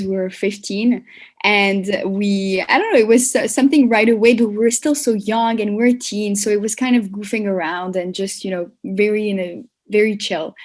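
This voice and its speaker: Chatty woman in her twenties. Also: medium pitch. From France. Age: 20s. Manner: relaxed and chatty, many fillers and restarts. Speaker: podcast guest. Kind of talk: casual conversational interview.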